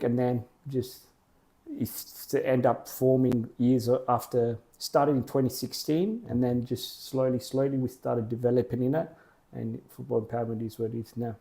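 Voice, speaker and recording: Mellow-voiced man, halting answers. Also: mid-range voice. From Australia. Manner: plain, halting, short clauses. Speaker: guest, football program co-founder. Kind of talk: casual interview answers.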